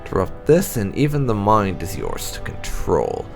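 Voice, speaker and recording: A man voicing a coldly sinister, self-assured blood mage scholar, declaiming gravely from a fantasy game text. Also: lowish voice, huge pitch swings, moderate pace, darkish tone, faint music behind, exaggerated voice.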